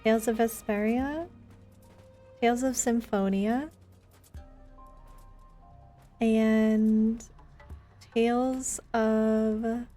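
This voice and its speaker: A woman's voice: fairly high pitch, steady tone, drawn-out words, pauses often, mellow, soft background music. A woman live streaming a video game, chatting casually and answering her viewers as she plays. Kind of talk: casual live stream chat while playing. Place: indoors, live game stream with music behind.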